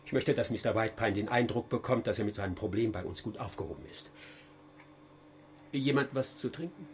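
An actor playing an officious, demanding agency boss with a formal, repetitive manner in a German radio play. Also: male voice, lowish voice, brisk, close to an everyday voice. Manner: formal, repetitive, slightly flustered. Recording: noisy ambient background.

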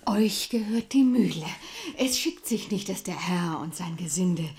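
An actress playing a cunning, coquettish young miller's wife in a German radio play, breathy and often half-whispering, coy and wheedling. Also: female voice, fairly low pitch, lively intonation, measured pace, darkish tone.

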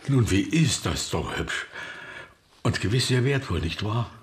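A man acting in a German radio play as a peevish, gossipy gentleman guest, awkwardly polite with dry asides. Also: lowish voice, big pitch swings, measured pace, slightly rough, exaggerated voice. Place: studio radio production.

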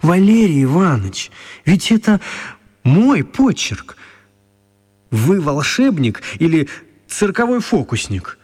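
A man's voice: a little high, sing-song, slow, long pauses, a little mellow, slightly rough, full character voice. An actor narrating an audio drama as a writer telling his own magical tale. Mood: astonished. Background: music.

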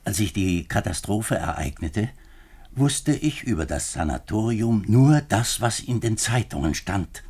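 A man's voice: on the low side, animated, measured pace, a little mellow, husky, full character voice. An actor in a 1950s German radio drama playing a troubled, earnest government minister, speaking formally and hesitantly as he confides. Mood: grave, explanatory. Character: troubled male French government minister, reluctant politician, earnest.

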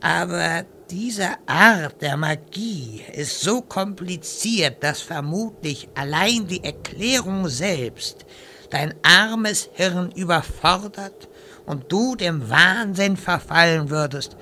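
A pompous great wizard, played by a man in a fantasy radio comedy. He speaks slowly and haughtily in a fairly high, creaky voice, with clear background ambience. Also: lively intonation, bright, forceful tone, a little hiss or hum, full character voice. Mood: condescending. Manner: haughty, slow, grandiose. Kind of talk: audio comedy parody acting.

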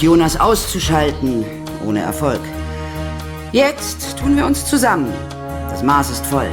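A woman playing a vengeful, imperious committee chair in a radio play, commanding and formal, like an orator. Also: deep voice. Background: music.

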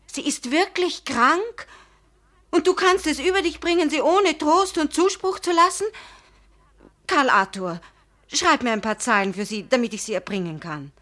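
An actress playing a proud, tender-hearted young woman in a literary radio drama, earnest and emotional, moving between hushed and vehement. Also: sits high, lively intonation, moderate pace. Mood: shocked, reproachful. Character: young Charlotte Löwensköld, proud, impassioned, tender-hearted jilted fiancée.

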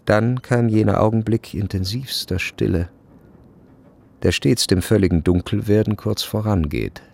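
A man narrating a literary radio play in a measured, sober and precise manner. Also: lowish voice, gravelly, a little mellow.

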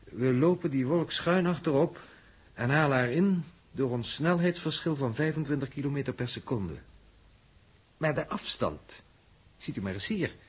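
An actor playing an analytical, puzzled spaceship mathematician in a 1960s radio drama, speaking deliberately and didactically with a husky voice. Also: male voice, medium pitch, lively intonation. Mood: puzzled, precise.

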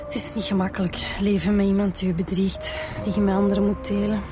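An actress playing a persuasive, determined police inspector in a radio drama, conversational, with a Flemish accent. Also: female voice, medium pitch, level tone, fast talker, music in the background, lightly acted. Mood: coaxing.

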